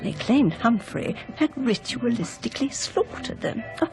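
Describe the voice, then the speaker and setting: A woman's voice: on the high side, animated, moderate pace, over music. An actress playing a well-meaning, motherly middle-aged society wife in a radio drama, chatting in a genteel, confiding voice. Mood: confiding, gossipy. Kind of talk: 1990s British radio drama acting.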